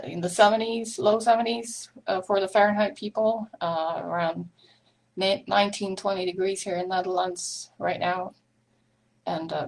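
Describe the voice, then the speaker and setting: A woman's voice: fairly low pitch, gravelly, rough recording. A woman from Sweden with a Swedish accent, hosting a live online meetup in a chatty way, with many ums and small laughs. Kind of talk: casual live-stream chat.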